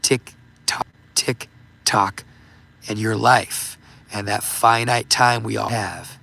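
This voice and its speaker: A man hosting a livestream, talking casually and haltingly with many fillers, his voice going hoarse and giving out. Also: lowish voice, steady tone, pushed and bright. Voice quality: gravelly, voice giving out. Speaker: livestream host. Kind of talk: casual livestream talk reading super chats.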